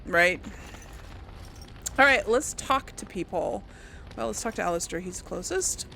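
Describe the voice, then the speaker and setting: Slow-paced woman hosting a game playthrough. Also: mid-range voice, lively intonation, gravelly, sharp tone, a little hiss or hum, rough recording. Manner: chatty, informal. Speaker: let's play host. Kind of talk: casual gameplay commentary with role-played replies.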